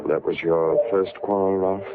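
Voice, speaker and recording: An actor playing a level-headed, sceptical confidant with measured, probing delivery in 1940s radio drama. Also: male voice, deep voice, level tone. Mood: probing, sober. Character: level-headed, sceptical male confidant questioning a troubled friend. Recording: muffled old radio recording with music.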